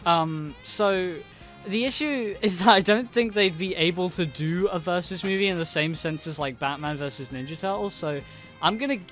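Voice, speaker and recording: A man's voice: sits high, moderate pace, a bit bright, soft background music. A man co-hosting a casual podcast, talking with enthusiasm and rambling a little. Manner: enthusiastic, rambling with fillers and laughs. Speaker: podcast co-host. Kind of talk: casual chat.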